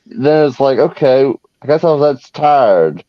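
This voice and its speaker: A man's voice: mid-range voice, lively intonation, a little slow. A man from Central Virginia with his local accent, chatting casually and joking about himself. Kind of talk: casual chat. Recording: muffled lo-fi audio.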